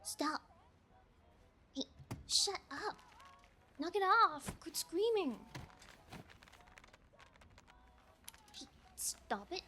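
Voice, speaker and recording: A high, unhurried female voice performed by a woman plays a sociopathic kidnapper in an audio roleplay. She is nonchalant and taunting, bullying her captive with playful menace. A faint noise sits behind the voice. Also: lively intonation, sharp tone, full character voice. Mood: annoyed. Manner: taunting, nonchalant, slow and deliberate. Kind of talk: ASMR audio roleplay acting.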